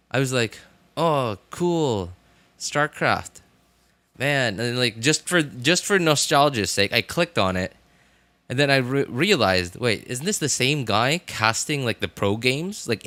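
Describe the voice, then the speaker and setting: A man in his thirties chatting casually on a livestream, rambling and haltingly thinking aloud. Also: mid-range voice, fairly bright tone, no echo.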